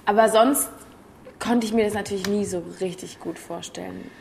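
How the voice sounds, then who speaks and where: A woman in her twenties from Berlin with a Berlin accent, an actress chatting casually as an interview guest, hesitant, often trailing off softly. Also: mid-range voice, moderate pace, a little hiss or hum. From Germany. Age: 20s.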